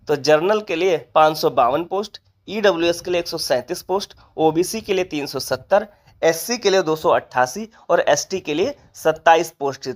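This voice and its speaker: A presenter giving an informational explainer, methodically reading out details and figures. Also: medium pitch. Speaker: exam update presenter.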